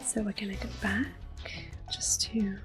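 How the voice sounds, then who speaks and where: A young woman giving calm, soft-spoken ASMR commentary on a game she is playing, drifting often into close whispers with gentle fillers. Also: mid-range voice, measured pace. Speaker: ASMR gaming host. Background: faint video game music.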